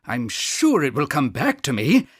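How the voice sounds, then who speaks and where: An actor playing a fussy, absent-minded town mayor in a cartoon video game, wordy and politely pompous. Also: male voice, medium pitch, wildly swooping pitch, a little slow, a bit bright, husky, no echo, studio quality, exaggerated voice.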